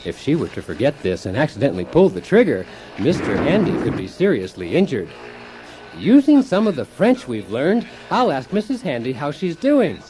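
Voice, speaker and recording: A man's voice: medium pitch, wildly swooping pitch, moderate pace, over music. An actor playing a cheerful, accident-prone handyman husband who hosts a spoof do-it-yourself radio show, narrating like a mock-earnest instructor. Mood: upbeat, instructive. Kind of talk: scripted comic instructional narration in radio theater. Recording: clearly noisy, busy effects behind.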